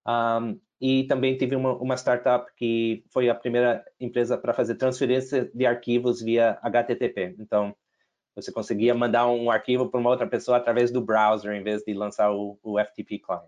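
A man's voice: medium pitch, flat delivery, moderate pace, darkish tone. A middle-aged man with an American accent speaking Portuguese, telling his career story with many restarts and fillers and slipping in English words. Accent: American English, some Brazilian and European Portuguese. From United States. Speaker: investor guest. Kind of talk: casual interview talk.

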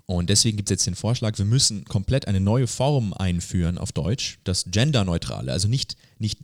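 Casual male podcast host, low-pitched, rambling and colloquial, recorded dry. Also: expressive, moderate pace, a little mellow, no room sound. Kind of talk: casual conversational podcast chat.

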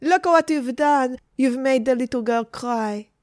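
A woman's voice: high voice, animated, normal speed, dry recording, clean studio sound, pitched above the performer's own voice. A woman voicing a fiery, bossy Frenchwoman in a story, scolding emphatically and scornful of silly men. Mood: scornful, indignant.

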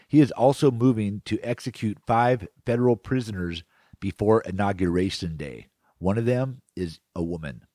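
A man's voice: lowish voice, darkish tone, no room sound, studio quality. An American man hosting a radio magazine, presenting in a semi-scripted way. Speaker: radio show host.

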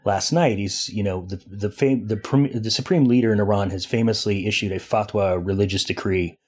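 A man's voice: lowish voice, fairly quick, darkish tone, husky. A man speaking as a foreign news editor and interview guest, giving a long analytical answer with frequent fillers and restarts. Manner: discursive, many restarts and fillers.

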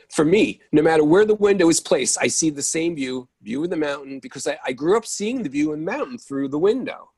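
Man reading impatient stage character, husky, lively pitch, slightly high, exasperated. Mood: bickering. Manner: exasperated, quick to lose patience. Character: impatient man needing morning coffee. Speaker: reader in a readers theatre play.

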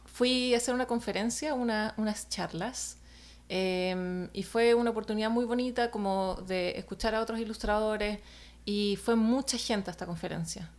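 A woman's voice: medium pitch, normal speed. A woman, an illustrator telling a personal story in a casual chat, hesitant with many fillers.

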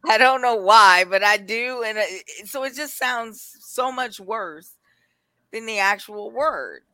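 A woman's voice: on the high side, measured pace, bright, forceful tone, no room sound. A woman chatting casually on a talk show, sharing her opinions. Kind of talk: casual chat, anecdotes and opinions.

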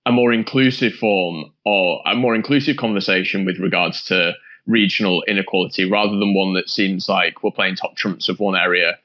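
Low-voiced, hesitant male panel guest. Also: fairly even intonation, normal speed, sharp tone, dull audio. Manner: thoughtful, hesitant restarts with repeated "I think". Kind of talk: political discussion.

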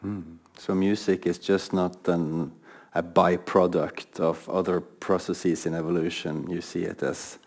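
Gravelly low-voiced male interviewer. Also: little pitch movement, a little slow, darkish tone. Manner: hesitant, many fillers, interested. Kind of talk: interview questions.

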